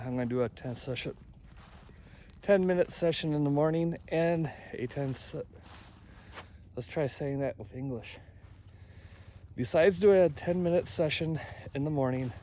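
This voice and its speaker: A man records a casual hobby vlog in an average-pitched voice. He rambles candidly, pausing and rewording as he goes. Clear ambient noise sits behind his speech. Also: warm tone. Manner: halting, self-correcting, candid. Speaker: amateur radio hobby vlogger.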